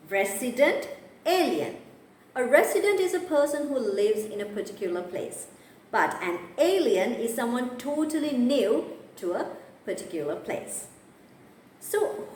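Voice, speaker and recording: A woman teaches English vocabulary in a slightly high, lively voice. She speaks slowly and repeats each term and example so learners can follow. The room is echoey, with faint ambient noise behind her.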